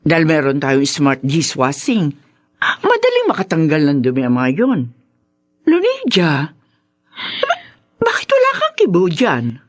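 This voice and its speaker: A woman's voice: sits low, huge pitch swings, unhurried, pauses often, full character voice. An actress playing a fretful, nagging middle-aged rich mother in a radio drama, scolding with a Filipino accent. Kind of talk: Tagalog radio drama acting.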